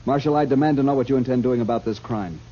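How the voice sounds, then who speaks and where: Actor as brisk, haughty Army major, old radio. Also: male voice, mid-range voice, lightly acted. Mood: indignant. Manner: clipped, formal, pompous. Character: stiff, haughty Army major, imperious and stubborn. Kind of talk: 1950s radio western acting. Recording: muffled old radio broadcast.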